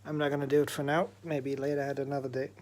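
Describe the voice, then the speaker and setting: A man's voice: mid-range voice, little pitch movement, fast talker, darkish tone. A man presenting an electronics repair demonstration, explaining things step by step in an informal way.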